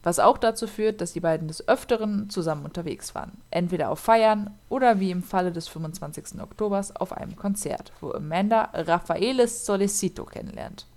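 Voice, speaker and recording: A woman's voice: medium pitch, a little fast, few pauses, a little mellow. A woman, co-hosting a casual podcast and narrating a true crime case, chatty with lots of fillers. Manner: chatty, laughs often, many fillers.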